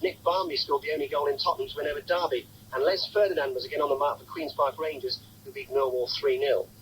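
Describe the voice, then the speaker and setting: Brisk male sports newsreader. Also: a little high, steady tone. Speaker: sports presenter. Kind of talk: scripted radio sports bulletin. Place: radio studio. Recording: faintly noisy old radio broadcast.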